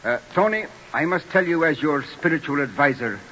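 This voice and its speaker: A stern but fond parish priest who speaks in an earnest, reproving way. The voice sits a little high, and the recording is dull, old radio with a faint hiss. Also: male voice, expressive, fairly quick, pushed and bright. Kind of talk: 1940s radio acting.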